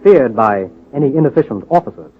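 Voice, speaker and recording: A man's voice: mid-range voice, animated, a little fast, faint music behind. Tinny vintage radio narrator, man. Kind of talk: dramatic scripted radio narration, 1930s-40s. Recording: tinny old radio recording.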